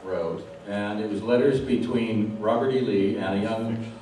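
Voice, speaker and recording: A man's voice: on the low side, measured pace, mellow. A man hosting an interview, explaining things informally to a live audience with a wry, joking manner. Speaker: interviewer, host. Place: public audience question session in a room. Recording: very echoey room, distant mic, clear noise.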